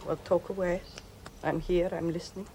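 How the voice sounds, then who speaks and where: A woman's voice: lowish voice, flat delivery, normal speed, dark tone, a little hiss or hum, lightly acted. A woman playing a weak, bedridden wife in a radio play, speaking faintly and plaintively. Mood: frail.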